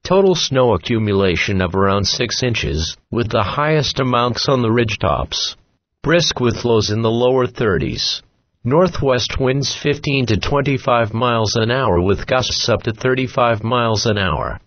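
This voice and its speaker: A synthetic text-to-speech man's voice reading a scripted weather forecast as an automated radio announcer, in a flat, clipped, formulaic way. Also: mid-range voice, measured pace, no echo. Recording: dull lo-fi weather radio recording.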